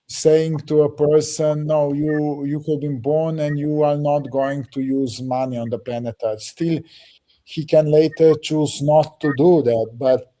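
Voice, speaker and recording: A man leading a project, explaining it to a group haltingly, with restarts and fillers. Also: fairly high pitch, mellow, low-quality recording. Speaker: project leader answering questions.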